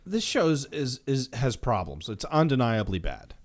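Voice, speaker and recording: A man's voice: mid-range voice, big pitch swings, moderate pace. A man co-hosting a podcast, chatting casually in a blunt, opinionated way. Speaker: podcast co-host. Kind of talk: casual chat.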